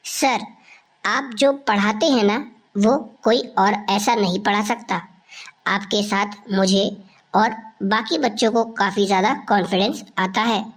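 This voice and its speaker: A woman's voice: mid-range voice, unhurried. A woman playing an earnest, lovestruck teenage schoolgirl in scripted cartoon dialogue. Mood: admiring.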